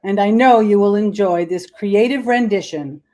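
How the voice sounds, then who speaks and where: A woman hosting a theater program, giving a measured, formal scripted welcome address. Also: medium pitch, dark tone. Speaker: theater chairperson and host.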